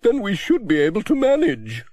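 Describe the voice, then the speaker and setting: Brief: man playing a brisk, book-mad village lady, high chirpy lively voice, dry audiobook sound. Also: no echo, full character voice. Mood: cheerful. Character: brisk bookish older village woman. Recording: dry close audiobook recording.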